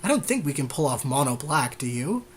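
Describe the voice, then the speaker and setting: A man's voice: medium pitch, big pitch swings, brisk, slightly rough. A man co-hosting a casual card game podcast, talking in an agreeable, conversational way. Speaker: podcast co-host.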